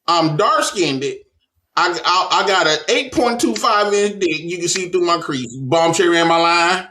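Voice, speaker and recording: A man's voice: sits high, lively intonation, unhurried, sharp tone, echoey room. A man bragging about himself in a casual group chat, laughing often and telling stories animatedly. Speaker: panel guest.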